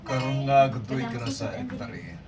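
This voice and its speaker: A man's voice: medium pitch, a little fast, music in the background, close to an everyday voice. A man playing a patient, hesitant father in an amateur radio drama, on a distant, noisy recording. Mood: measured, yielding. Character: patient, hesitant father talking to his child. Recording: distant mic, clear background noise.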